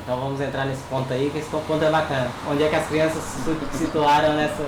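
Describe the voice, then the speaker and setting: A man's voice: on the high side, level tone, fast. A man with a Brazilian Portuguese accent hosting a casual podcast, chatty and upbeat. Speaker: host, barber. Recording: echoey room, distant mic, noisy.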